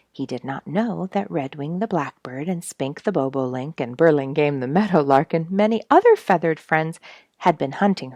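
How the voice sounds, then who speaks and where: Female children's storyteller: low, slightly gravelly, very lively intonation, expressive read-aloud narration. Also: no echo, studio quality.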